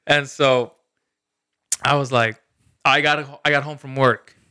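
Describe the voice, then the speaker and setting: Bright, crisp-voiced man from El Salvador. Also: mid-range voice, fairly even intonation, takes it slowly, no echo, clean studio sound. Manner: storytelling, laughs often, slangy. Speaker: podcast co-host. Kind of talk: casual chat.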